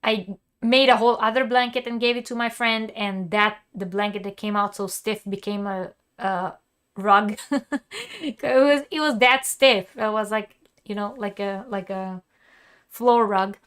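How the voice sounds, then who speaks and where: A woman hosting a live crochet lesson, talking casually with her viewers and laughing often. Her voice is wearing thin and she stops to cough and clear her throat. She talks in loose, chatty runs with plenty of fillers. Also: medium pitch, measured pace, fairly bright tone. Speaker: crochet teacher and channel host. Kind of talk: live-streamed craft lesson, answering chat.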